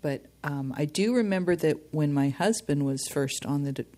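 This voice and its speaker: A woman's voice: deep voice, normal speed, warm tone. A woman joining a casual podcast conversation, chatty and reminiscing.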